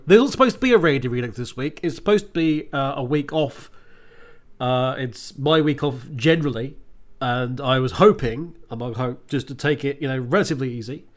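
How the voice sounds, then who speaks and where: Stuffed-up male radio host, irritated and rambling, raised voice, full of ums. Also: on the high side. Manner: frustrated, sweary, rambling with many fillers. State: congested with a cold. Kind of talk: ranting podcast monologue.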